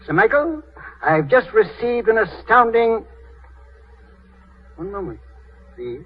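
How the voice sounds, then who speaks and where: An actor playing a shrewd, courtly older British statesman with dry wit, husky and theatrical, in 1930s radio drama style. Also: high voice, very animated, heavily acted. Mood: secretive, eager. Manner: urbane, theatrical, wryly deliberate. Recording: muffled 1930s radio recording, faint music.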